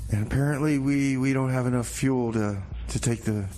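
A man hosting a community radio show with casual comedic patter, speaking in a halting, folksy, mock-earnest way. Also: medium pitch, fairly even intonation, dark tone, faint music behind.

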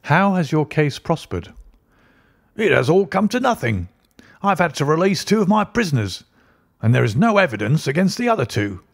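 A man's voice: on the high side, very animated, normal speed, vocal fry, pitched above the performer's own voice, full character voice. A man reading an audiobook, voicing a bluff, hearty middle-aged police inspector with an emphatic manner. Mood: businesslike.

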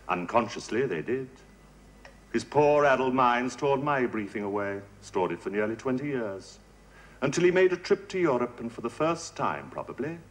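A man plays a suave, cold spy in a 1970s TV thriller. He is smooth and clipped, and his pitch rises and falls widely. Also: natural voice. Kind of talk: 1970s TV drama acting.

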